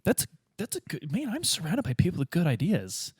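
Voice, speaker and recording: A man's voice: fairly high pitch, huge pitch swings, a little fast, darkish tone, breathy edge, dry recording, studio quality. A man playing a tabletop roleplaying game, talking casually and slipping in and out of character.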